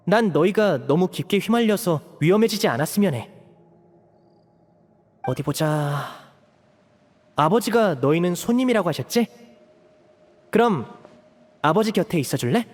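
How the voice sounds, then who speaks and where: An actor playing a young male magician who leads calmly and persuasively in a Korean video game. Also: a little high, long reverb tail. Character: composed young male magician, caring leader.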